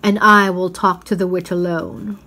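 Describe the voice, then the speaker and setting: A woman voicing a majestic male lion king in a storybook reading, grave and calmly authoritative. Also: lowish voice, moderate pace. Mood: commanding.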